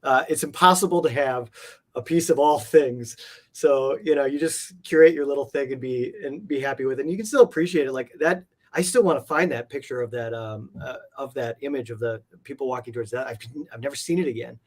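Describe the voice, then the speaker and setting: A man's voice: mid-range voice, fairly quick. A man speaking as an interview guest, chatting casually in a rambling, reflective and enthusiastic way. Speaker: interview guest, art collector. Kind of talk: casual chat.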